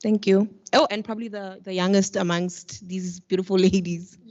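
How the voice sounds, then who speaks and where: A woman's voice: medium pitch, fairly even intonation, normal speed, a little mellow. A young South African woman, a law student, introducing herself on a panel in a polite, slightly self-conscious way. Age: young adult. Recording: dull lo-fi sound.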